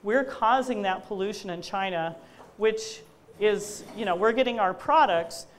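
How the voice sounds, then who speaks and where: A middle-aged woman, an engineering lecturer at a university, giving an energetic public talk with slides in a loud voice and many fillers. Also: medium pitch, measured pace.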